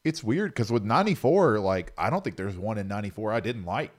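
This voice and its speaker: A man's voice: lowish voice, lively intonation, brisk. A man in casual podcast chat, agreeable and openly enthusiastic as he talks.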